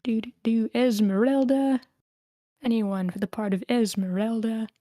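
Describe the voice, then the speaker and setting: A woman's voice: on the high side, fairly even intonation, measured pace, warm tone, no echo, clean studio sound. A woman hosting a screenplay table read, switching between informal, encouraging chat and narration of stage directions. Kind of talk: casual hosting and script narration.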